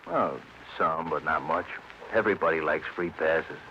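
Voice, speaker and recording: Laconic junior detective, very low and casual, vintage radio noir, dull recording. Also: male voice, normal speed, slight background noise. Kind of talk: 1940s radio crime acting.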